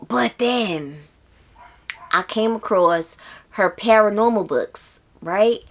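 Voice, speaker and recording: A woman book vlogger talking casually and informally to viewers, using slang and repeating words for emphasis in an expressive way. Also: mid-range voice, slow.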